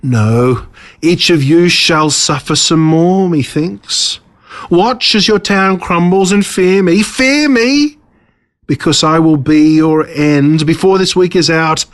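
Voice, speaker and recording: A man's voice: fairly high pitch, takes it slowly, pitched above the performer's own voice. A man voicing a smug, menacing villain in a storybook reading, pompous and theatrical in his delivery. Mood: menacing, bitter. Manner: pompous, gloating, dramatic.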